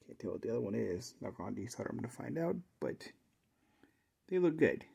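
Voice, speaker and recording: A man's voice: mid-range voice, very animated, normal speed, creaky, mellow, slightly rough. A man giving casual, unscripted commentary as a hobbyist repairer, thinking aloud to himself as he works. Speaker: hobbyist repairer presenting a video.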